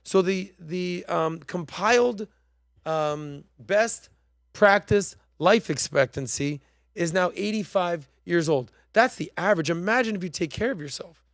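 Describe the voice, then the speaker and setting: A man's voice: high voice, lively intonation, unhurried, vocal fry, a bit bright, dry recording, studio quality. A man hosting a podcast, talking in a casual, emphatic and slangy way while commenting on played clips. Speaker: podcast host.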